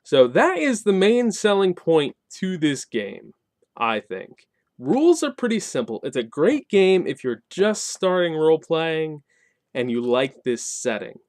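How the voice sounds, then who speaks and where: A man's voice: a little high, big pitch swings, measured pace, darkish tone, no echo, clean studio sound. A man reviewing in a casual, unscripted way, with informal wording and emphatic stress on key points. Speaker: game review channel host.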